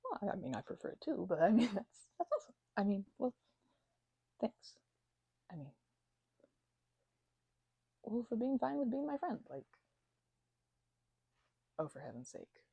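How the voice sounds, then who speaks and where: A woman with a husky, gravelly voice rambles to the listener as her roommate in an ASMR roleplay, stammering and restarting, sometimes sinking into a whisper. Her tone is mellow and dark, and she sits close to the microphone in a dry, dead room. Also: a little high, big pitch swings, a little slow, pauses often, no echo, muffled recording. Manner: rambling and hesitant, with many fillers and stammered restarts; drops into soft whispers at times.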